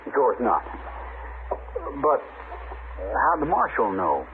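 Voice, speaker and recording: Lively, folksy frontier rancher. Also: male voice, fairly low pitch, slow. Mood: puzzled, grave. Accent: rural Western American. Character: proud, stubborn, folksy frontier rancher, newly married. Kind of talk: 1950s radio drama acting. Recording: tinny old radio recording, faint noise.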